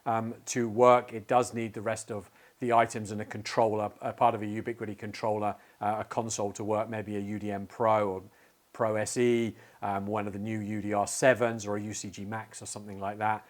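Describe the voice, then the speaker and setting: A man's voice: on the low side, little pitch movement, brisk. A man in his forties giving a casual, explanatory product walkthrough with hesitant phrasing, recorded in an indoor studio. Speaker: tech channel presenter. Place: indoor studio with plain backdrop.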